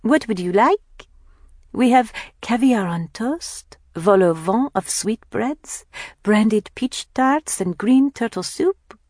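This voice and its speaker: A woman's voice: fairly high pitch, lively intonation, slow, a little mellow, no room sound, studio quality, voice pushed up. A woman voicing a teenage girl who poses as a grand French restaurant hostess, formal and affected, with a French accent. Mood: grandly courteous.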